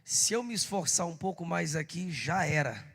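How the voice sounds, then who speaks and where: A hoarse man, a pastor, leading a church service, urging the congregation and calling out to them. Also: on the high side, animated, moderate pace, fairly bright tone, in a large hall. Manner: exhorting the congregation, calling out to the crowd. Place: church hall. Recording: live church service with music.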